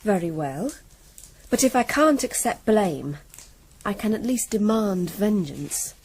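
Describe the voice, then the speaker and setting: A woman's voice: medium pitch, expressive, slow, darkish tone, slightly rough, heavily acted, rough recording. A young woman acting a proud, headstrong medieval noblewoman in a radio drama, with intense, defiant, formal delivery. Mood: bitter, defiant. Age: young adult.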